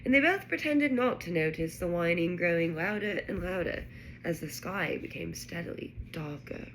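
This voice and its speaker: Lowish-voiced woman reading a story aloud. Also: expressive, normal speed, poor audio. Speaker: storybook reader. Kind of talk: expressive read-aloud narration of a children's novel. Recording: faint background noise.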